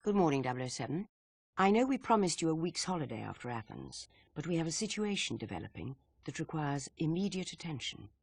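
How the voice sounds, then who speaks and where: Husky, formal spy chief. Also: male voice, on the high side, sing-song, no echo, exaggerated voice. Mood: grave, businesslike. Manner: formal, measured, authoritative. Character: senior British intelligence chief briefing his agent, formal, grave. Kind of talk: scripted video game cutscene acting.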